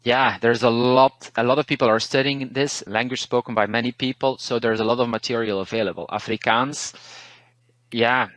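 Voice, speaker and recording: A man from Belgium with a Belgian Dutch accent whose first language is Dutch, a language teacher talking through learning tips in a relaxed, thoughtful way, pausing and restarting words. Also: mid-range voice, no room sound, low-quality recording. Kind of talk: interview, casual advice.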